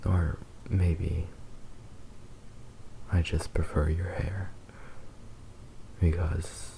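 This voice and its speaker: Guy acting as a possessive fox boy boyfriend, low gravelly whisper, slow and tender, asmr roleplay. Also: level tone, dark tone, slight background noise, full character voice, poor audio. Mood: soft, affectionate. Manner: slow, tender, intimate murmuring with pauses. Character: possessive, doting kitsune fox boy lover.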